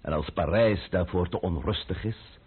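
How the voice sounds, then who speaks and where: An actor playing a cunning, menacing count in a Dutch radio play, silky and mockingly polite. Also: male voice, deep voice, normal speed, slightly rough. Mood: menacing, commanding. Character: cunning, menacing aristocratic count, coolly sardonic schemer. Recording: thin, phone-like old radio play recording.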